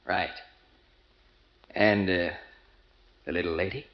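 A matter-of-fact counterman in a radio play. He is slow and deliberate, in a fairly low voice. The sound is dull and old. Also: steady tone, roomy sound. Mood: businesslike. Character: matter-of-fact male lunch-counter man taking orders. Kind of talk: old-time radio drama acting.

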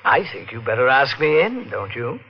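On a muffled old radio recording, a sly, mocking husband with villainous poise. He is suave and sardonic, placing his words deliberately with lively turns of pitch. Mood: smugly menacing. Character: sly, mocking husband returned from presumed death. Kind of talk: 1940s radio mystery acting.

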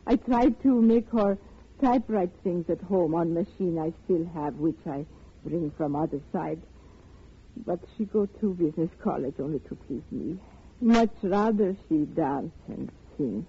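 An actress, speaking halting, sorrowful broken English. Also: female voice, on the low side, expressive, a little hiss or hum. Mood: sad, wistful. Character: grieving, weary immigrant mother, listless and resigned. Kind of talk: 1950s radio drama acting. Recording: muffled, tinny old radio recording.

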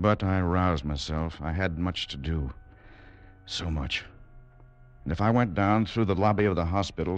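An actor playing a composed, coolly secretive military doctor in an old radio drama. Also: male voice, low-pitched, lightly acted. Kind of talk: 1950s radio drama acting and narration.

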